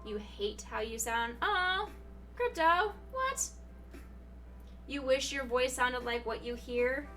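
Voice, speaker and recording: Bright young woman streamer, slightly off-mic. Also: lively intonation, slow pace, distant mic. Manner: chatty and playful, swings into high surprised exclamations. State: hiccuping. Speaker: Twitch streamer. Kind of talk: casual live-stream chat, reading chat messages. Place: home room. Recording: voice a little off-mic, music behind.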